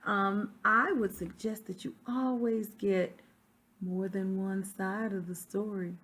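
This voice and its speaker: A woman teacher giving quiet conversational asides during a read-aloud and putting questions to her listeners. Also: medium pitch, steady tone, slow. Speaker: sixth grade teacher.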